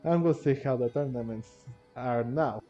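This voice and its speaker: A man giving casual, rambling commentary on a game playthrough, halting and repeating himself. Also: medium pitch, dull audio. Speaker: gamer, video host. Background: game music.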